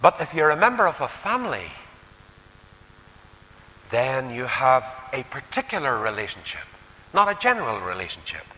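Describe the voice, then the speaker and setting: A male preacher with a Northern Irish accent delivers a sermon in a big reverberant hall. His voice is bright and forceful, ranging widely from emphatic peaks down to quieter asides. He often turns to rhetorical questions. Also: medium pitch, very animated, moderate pace, long pauses, slight background noise. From Northern Ireland. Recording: echoey hall with long reverb.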